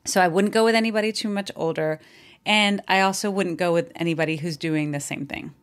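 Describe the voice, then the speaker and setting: Woman, 40s, low-pitched, frank advice-giver, podcast guest. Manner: advice-giving, frank, pauses and restarts. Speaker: relationship expert guest. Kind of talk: casual podcast conversation.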